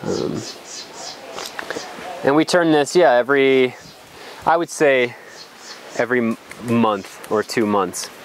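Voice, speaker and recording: A man's voice: mid-range voice, slow, pauses often. A young adult man explains things in an informal outdoor tour, thinking aloud with some hesitation, on a lapel mic. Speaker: farm guide. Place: outdoors on a farm.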